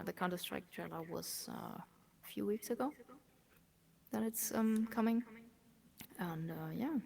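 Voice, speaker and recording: A woman speaking English with a German accent, an esports operations manager chatting in an interview, often trailing off into soft near-whispers. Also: fairly low pitch, vocal fry. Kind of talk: casual interview chat.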